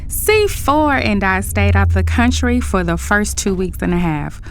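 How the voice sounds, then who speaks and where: A woman playing a carefree young runaway bride, a billionaire's daughter, telling her story in an expressive, conversational audio drama style. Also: medium pitch, unhurried, slight background noise. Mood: breezy, blissful. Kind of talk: first-person audio drama narration and dialogue.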